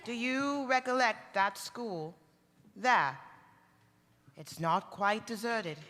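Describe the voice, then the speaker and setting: A woman playing a calm, stern, ageless spirit in live radio theater, speaking in a measured, solemn, commanding way. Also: a little high. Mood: gentle, grave. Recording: reverberant live theater hall.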